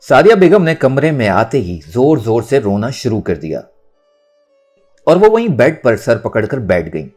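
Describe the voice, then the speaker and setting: A man narrating a novel in a dramatic, expressive storytelling style. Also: mid-range voice, a little slow, breathy edge, soft background music. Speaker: audiobook narrator.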